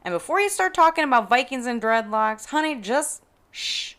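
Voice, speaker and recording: A woman hosting a podcast, delivering a scripted opinion monologue in an emphatic, expressive way with playful asides. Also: high-pitched, moderate pace, noisy background. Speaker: podcast host.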